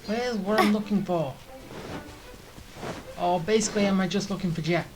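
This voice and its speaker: A woman's voice: medium pitch, moderate pace. A woman doing casual game commentary, thinking aloud in short reactive remarks. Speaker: gameplay commentator. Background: loud game music.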